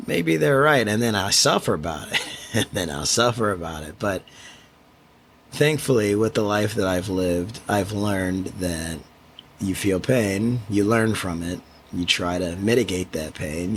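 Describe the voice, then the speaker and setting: Quiet guy podcasting alone into a mic, lowish voice, kinda rambly and thoughtful. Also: measured pace, slight background noise. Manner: reflective, rambling with restarts. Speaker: podcast host. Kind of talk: solo podcast monologue. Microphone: studio microphone.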